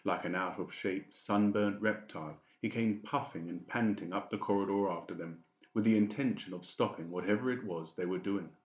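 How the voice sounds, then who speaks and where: A man reads dramatic story narration for an audiobook. His voice is very low. The recording is roomy and a bit muffled. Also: normal speed. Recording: roomy, slightly dull sound.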